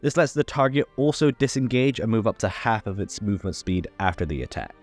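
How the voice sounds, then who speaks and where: A man's voice: fairly low pitch, lively intonation, fairly quick, soft background music. A man hosting a tabletop game tutorial, narrating a scripted explainer step by step with informal asides.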